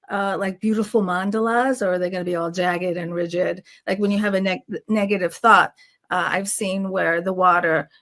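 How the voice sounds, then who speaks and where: A woman's voice: mid-range voice, a bit bright. A woman hosting a casual online interview in an inquisitive, reflective way. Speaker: podcast host. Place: online video call.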